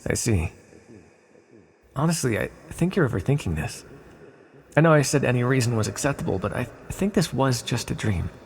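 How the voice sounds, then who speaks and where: A man playing an ancient sealed villain in an ASMR roleplay, speaking hesitantly and courteously in gentle, secretive persuasion with a gravelly voice. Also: medium pitch, big pitch swings, moderate pace, pauses often. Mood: persuasive, resigned.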